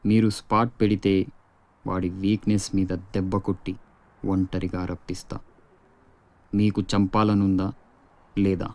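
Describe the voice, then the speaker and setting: Cool scheming male gang insider, low, monotone, dark-toned film delivery. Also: measured pace, long pauses. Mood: cold, calculating.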